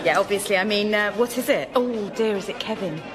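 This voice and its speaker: A woman's voice: medium pitch, brisk, a loud crowd, heavy background noise, natural voice. A British woman playing a chatty, tactlessly curious character in a radio comedy sketch, inquisitive and over-eager.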